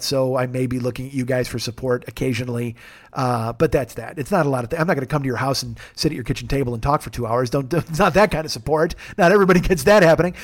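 A man in his forties hosting a podcast alone, talking in a rambling, jokey, self-deprecating way with a gravelly voice. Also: medium pitch. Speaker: podcast host. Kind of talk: casual solo monologue.

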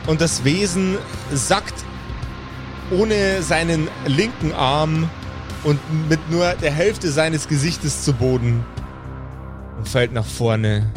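A man's voice: a little high, slow. A man narrating an improvised tabletop roleplaying game as the game master. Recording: music behind the voice. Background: background music.

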